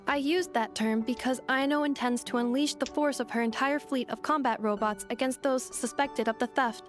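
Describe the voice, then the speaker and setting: A woman's voice: high voice, fairly even intonation, moderate pace, soft background music, lightly acted. A woman voicing an earnest female robot companion in a video game, speaking in clipped, formal, machine-like phrases. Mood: flat, factual. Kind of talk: video game character acting.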